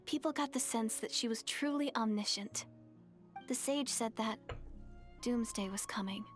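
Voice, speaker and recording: A shy young village girl from a fantasy game, voiced by a woman, gentle and earnest. She speaks softly with small hesitations in a fairly high voice, over faint game music. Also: normal speed, close to an everyday voice. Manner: soft-spoken, hesitant and bashful. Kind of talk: game dialogue.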